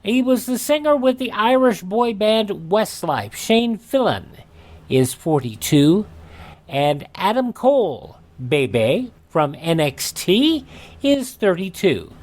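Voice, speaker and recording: A man's voice: sits high, sing-song, takes it slowly, darkish tone. A man with an American accent hosting a radio show and presenting items in a scripted but informal way. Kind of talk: presenting a this-day-in-history segment.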